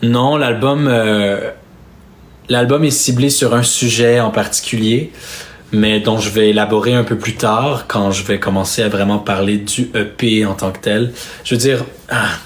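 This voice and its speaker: Soft-spoken young Québécois man. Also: fairly low pitch, steady tone, a little slow. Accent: Québécois French. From Quebec, Canada. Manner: soft-spoken, hesitant, with many fillers and restarts. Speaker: singer streaming live to fans. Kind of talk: casual live-stream chat. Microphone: phone or webcam on a live stream.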